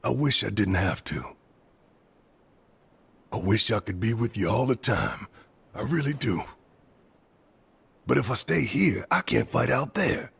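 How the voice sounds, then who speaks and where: A gruff resistance fighter and loving father in a game cutscene. His voice is very husky and heavy with gravel, rising and falling freely as he speaks with protective, earnest tenderness. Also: medium pitch, expressive, measured pace, pauses often, fairly bright tone, exaggerated voice. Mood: tender, regretful. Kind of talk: video game cutscene acting.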